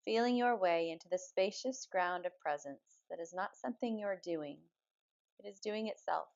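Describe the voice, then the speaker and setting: Woman, lowish voice, calm and soothing, measured guided meditation delivery, slightly dull recording. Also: gravelly. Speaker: yoga nidra meditation guide. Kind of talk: guided meditation, slow spoken relaxation instruction.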